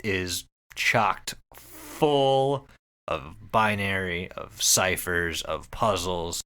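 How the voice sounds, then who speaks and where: Low, bright-voiced man, emphatic podcaster. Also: extremely slow, smooth. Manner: emphatic, profane. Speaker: podcast co-host. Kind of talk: casual podcast chat, explaining.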